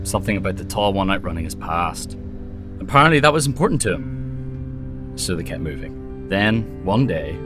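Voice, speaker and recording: An actor playing a jaded, wry mercenary haunted by lost love, speaking in a sardonic, conversational audio drama style. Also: male voice, sits low, natural voice. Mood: wistful, guarded. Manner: sardonic, storytelling about himself in third person. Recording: music behind the voice.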